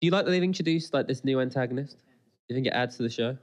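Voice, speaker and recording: A man co-hosting a podcast, giving casual, chatty reaction commentary in an excitable, exclamatory way, often repeating phrases. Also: mid-range voice, rapid-fire.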